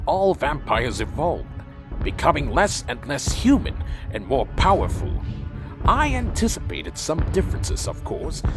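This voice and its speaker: An actor playing a troubled, earnest vampire leader who speaks formally and solemnly in an audio drama. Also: male voice, a little high. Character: earnest, troubled male vampire clan leader, proud yet deferential. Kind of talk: audio drama narration and dialogue.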